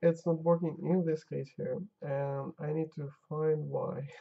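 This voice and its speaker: A tired game-dev streamer, his Albanian, drifts between mumbling over his code and chatting with viewers. His voice is gravelly and unhurried. The stream audio is dull and lo-fi. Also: fairly high pitch, level tone. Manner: muttering to himself while testing, talking to chat. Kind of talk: casual live-stream chat while coding.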